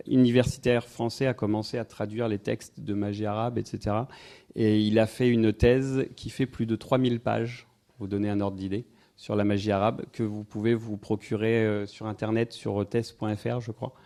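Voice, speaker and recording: A man's voice: fairly low pitch, level tone, moderate pace, warm tone. A man giving an informal talk to a live audience, rambling and full of hesitations and wry asides. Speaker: speaker giving a talk on incense history. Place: festival evening event, indoors.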